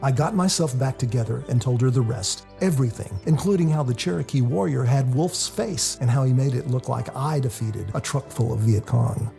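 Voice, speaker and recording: A man narrates a first-person story in a confessional, expressive way. His voice is dark and mellow with a heavy gravelly fry, and soft music plays underneath. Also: medium pitch, moderate pace, hardly any pauses. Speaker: author reading their own novel.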